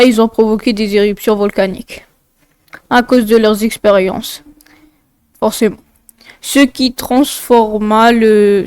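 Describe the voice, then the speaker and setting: Female video narrator, informal and digressive, clear tone but nasally congested, recorded close and dry. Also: on the high side, a little mellow, no echo. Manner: informal, rambling, often digressing. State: congested, blocked nose. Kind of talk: casual explainer narration with asides.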